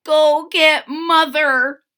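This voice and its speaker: Storybook boy kitten, female reader: high, bright, plucky but nervous, pleading quiver. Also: steady tone, drawn-out words, exaggerated voice. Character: brave curious young boy kitten, now scared.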